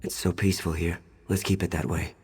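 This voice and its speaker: An actor playing a grumbling, devout male churchgoer in a fantasy video game, with a husky voice. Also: deep voice, little pitch movement, brisk, a little mellow, heavily acted. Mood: quiet contentment.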